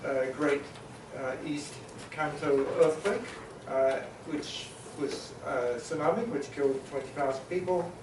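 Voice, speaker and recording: A man from New Zealand moderating a conference panel discussion, summarizing points with many pauses and filler sounds. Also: a little high, steady tone, background noise. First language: Maori. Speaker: panel facilitator. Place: conference hall. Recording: echoey hall, mic at a distance.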